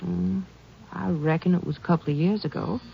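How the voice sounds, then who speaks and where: A woman's voice: on the low side, steady tone, fairly quick, gravelly, slightly rough, full character voice. An actress playing a folksy, gossipy small-town woman, musing through her answers in a radio western. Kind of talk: old-time radio western acting. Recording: dull old radio recording.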